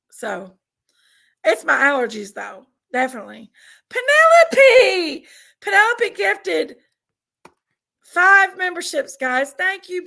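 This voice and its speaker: A woman with a Southern American accent, raspy and congested from allergies, chatting casually on a livestream and reading out viewers' names. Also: very high-pitched, bright, forceful tone. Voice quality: raspy, gravelly. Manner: drawling, reads out chat names. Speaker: livestream host.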